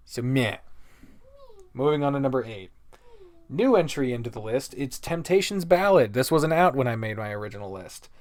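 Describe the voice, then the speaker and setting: A man's voice: mid-range voice, darkish tone, no echo. A man giving casual, opinionated commentary as a video host, rambling and swearing heavily as he goes. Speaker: video host reviewing visual novels. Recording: noticeable background noise.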